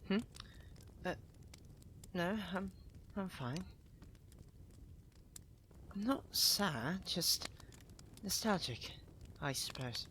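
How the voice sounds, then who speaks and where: Slow-spoken, proud young prince acted by a man. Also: high-pitched, expressive, bright, forceful tone, slight background noise, full character voice. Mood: anxious, nostalgic. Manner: posh, halting, teasing, defensive. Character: proud, tsundere young prince, flustered and secretly tender. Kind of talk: ASMR roleplay acting.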